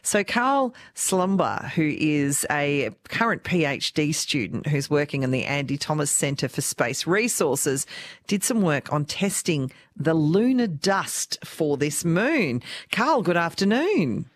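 A radio host, introducing and interviewing a guest in a clear, upbeat presenter manner. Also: mid-range voice, big pitch swings, slow, dry recording.

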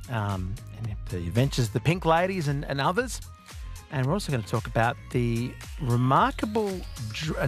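The playful Australian man hosting a weekend radio show, bouncing through banter at a close studio mic over faint music. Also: mid-range voice. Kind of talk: chatty live radio presenting.

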